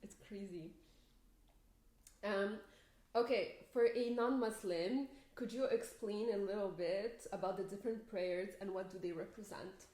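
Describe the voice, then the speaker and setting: A woman's voice: medium pitch, unhurried, warm tone. A young woman co-hosting a podcast, chatty and giggly, leading the questions in casual talk. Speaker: podcast co-host. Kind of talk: casual chat. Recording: echoey room.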